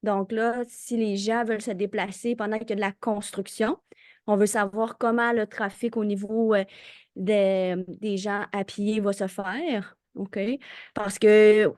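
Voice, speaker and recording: A woman's voice: medium pitch, little pitch movement, measured pace, darkish tone, dry recording. Female resident, chatty and insistent, dry close sound. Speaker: resident asking a question. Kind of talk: public meeting question. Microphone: video call.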